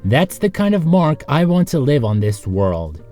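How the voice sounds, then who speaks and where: A man with a lively, swooping delivery narrates in a sarcastic, joking style. He slips often into casual asides to the viewer, as a host would. Soft music plays under his voice. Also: a little high, a little slow. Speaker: host of a video series.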